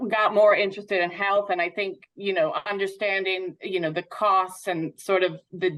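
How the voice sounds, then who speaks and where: A woman's voice: on the low side, fairly bright tone, poor audio. A North American woman speaking as an interview guest, reflecting candidly and informally as she thinks aloud. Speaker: patient advocate guest.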